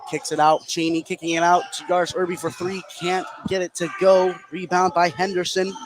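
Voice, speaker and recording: A man with a high-pitched voice calls a basketball game live as a radio play-by-play announcer. His commentary comes over clear background noise from the gym where the game is played. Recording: noisy live gym broadcast.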